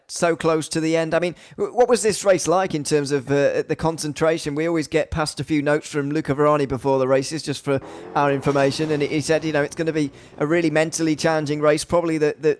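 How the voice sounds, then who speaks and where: Higher-pitched man commentating on sim racing. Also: no echo. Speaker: race commentator and host. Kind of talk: live sim racing commentary and post-race interview.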